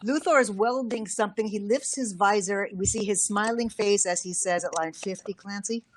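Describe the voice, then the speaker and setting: A woman voice director briskly reading stage directions and cueing actors. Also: mid-range voice, a little hiss or hum, poor audio.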